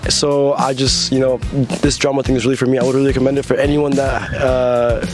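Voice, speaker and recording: A man's voice: medium pitch, little pitch movement, fairly quick. A young, extroverted man from Mexico and the US giving a testimonial as an exchange student. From born in Mexico, lives in US. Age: young adult. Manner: extroverted, talkative. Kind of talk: interview testimonial. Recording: music playing behind the voice. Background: music.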